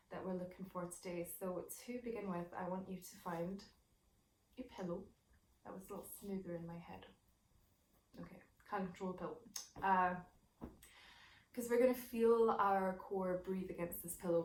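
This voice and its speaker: A woman teaching a gentle voice and breathing lesson, talking softly and reflectively with many fillers, her voice low with morning. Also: a little hiss or hum. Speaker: singing and voice teacher. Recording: echoey room, mic at a distance.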